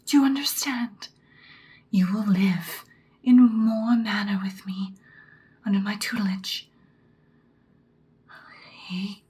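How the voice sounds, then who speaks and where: A woman playing a commanding female vampire mentor in a whispered ASMR roleplay, speaking softly, breathily and deliberately. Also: medium pitch, natural voice. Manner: slow, deliberate, instructing, ominous. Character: ancient-seeming female vampire mentor, commanding, once ruthless, now good.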